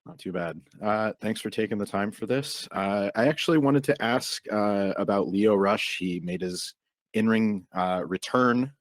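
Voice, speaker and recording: A man's voice: fairly low pitch, unhurried, no room sound. A male reporter asking a casual question on a press call, somewhat hesitant. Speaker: wrestling journalist asking questions.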